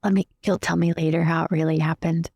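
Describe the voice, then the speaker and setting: A woman's voice: sits low, level tone, fast talker, a little mellow, slightly rough, dry recording, studio quality. A woman chatting casually as a player in a tabletop roleplaying game.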